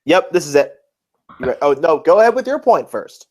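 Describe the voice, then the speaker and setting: A man's voice: on the high side, big pitch swings, brisk. A man co-hosting a podcast, reacting off the cuff in casual chat. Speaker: podcast co-host.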